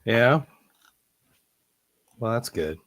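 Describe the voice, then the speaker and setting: Hoarse man hosting a live chat. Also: mid-range voice, animated, very slow, fairly bright tone, a little hiss or hum. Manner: rambling, with many ums and uhs and small laughs. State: hoarse, sick, partly lost voice. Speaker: host of a live online horror movie hangout. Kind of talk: casual live-stream chat.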